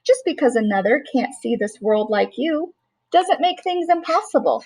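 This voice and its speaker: Woman, middle-aged, high-pitched, reads rhyming picture book gently and rhythmically. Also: lively intonation, moderate pace, breathy edge. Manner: gentle, sing-song rhyming reading. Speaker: teacher reading a bedtime story. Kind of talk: storybook read-aloud.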